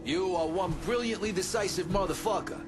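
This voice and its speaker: A man's voice: sits high, brisk, over music, natural voice. A man acting a weary, profane middle-aged gangster in a video game, talking bluntly and streetwise. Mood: brash, approving. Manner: blunt, streetwise, heavy swearing.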